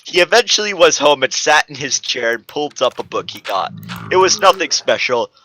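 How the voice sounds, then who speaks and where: A man's voice: a little high, sing-song, a little fast, pushed and bright, a little hiss or hum, poor audio. A man giving a comedic dramatic reading of fan fiction as narrator, stumbling and correcting himself.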